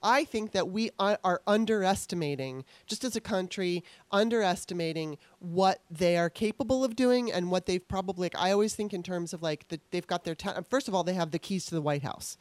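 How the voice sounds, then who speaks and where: A blunt, animated woman hosts a loose political chat and swears without a second thought. Her voice is close and dry, with almost no room sound. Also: fairly low pitch, a little fast, hardly any pauses, dry recording. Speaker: host. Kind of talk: casual political discussion.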